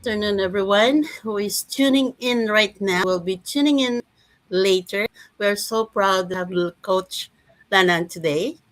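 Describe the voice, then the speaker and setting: A woman's voice: mid-range voice, a little slow, no room sound. A woman in her fifties speaking hesitantly, restarting sentences on a live video call. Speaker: panelist.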